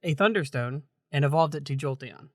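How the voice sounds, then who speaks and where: A man's voice: on the high side, brisk, no echo, clean studio sound. A man co-hosting a gaming podcast, chatting casually and often chuckling as he talks. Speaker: podcast co-host.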